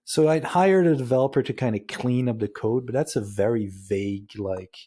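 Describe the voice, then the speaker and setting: A man's voice: mid-range voice, normal speed, warm tone. A man with a Dutch accent, a podcast guest talking casually and often restarting his sentences. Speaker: guest, ecommerce agency founder.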